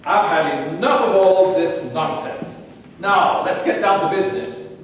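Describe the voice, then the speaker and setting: A man's voice: sits high, unhurried. An actor in a staged play reading, playing a pompous, pushy male sports agent who talks with indignant bluster. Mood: scornful. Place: theater stage. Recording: echoey hall from far off, faint noise.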